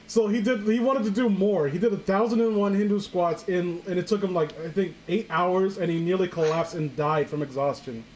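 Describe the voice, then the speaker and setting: Higher-voiced man, rambling and sweary. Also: fairly quick, few pauses, darkish tone, low-quality recording. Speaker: co-host of a comedy story-reading show. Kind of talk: casual joking chat and mock reading. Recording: faint background noise, home recording.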